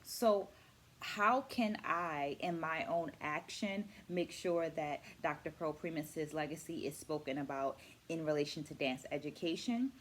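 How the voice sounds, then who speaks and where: Lower-voiced woman vlogger, thoughtful and deliberate. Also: a little hiss or hum. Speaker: vlogger and dance educator. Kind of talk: reflective book-review vlog.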